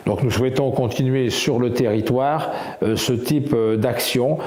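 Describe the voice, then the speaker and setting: A man speaking as a local official in a news interview, halting and full of fillers. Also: medium pitch, darkish tone, reverberant. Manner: halting, heavy use of fillers.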